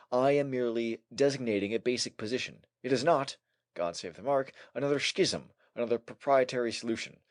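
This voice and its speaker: A man narrating a scholarly essay as an audiobook, in a formal and measured manner. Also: medium pitch, darkish tone, no room sound.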